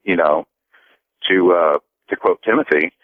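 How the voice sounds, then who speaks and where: A man calling a radio show by phone, speaking earnestly in a halting, thoughtful way. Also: sits low, no room sound. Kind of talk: earnest call-in conversation. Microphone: telephone. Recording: narrow phone-line sound.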